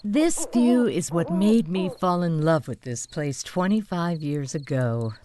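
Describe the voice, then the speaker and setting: A woman's voice: fairly low pitch, expressive, a little slow, mellow. A woman playing an attentive middle-aged wife hosting friends at a cabin, in an amateur radio drama. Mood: homely. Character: middle-aged wife Teresa, warm hostess. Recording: music score behind.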